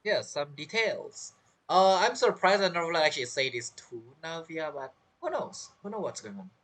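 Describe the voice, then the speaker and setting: Low-voiced woman giving chatty game commentary. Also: expressive, moderate pace. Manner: chatty, musing aloud. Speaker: gaming streamer. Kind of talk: casual game playthrough commentary.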